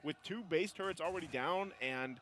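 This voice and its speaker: A man casting an esports match, breaking down plays in a measured, explanatory way with frequent "you know" asides. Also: fairly high pitch, heavy background noise. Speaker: esports caster. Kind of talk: game analysis commentary.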